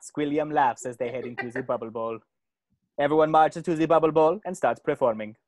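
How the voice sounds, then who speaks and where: A man's voice: on the high side, brisk, a little mellow, no room sound, studio quality. A man narrating stage directions from a script during a cartoon table read.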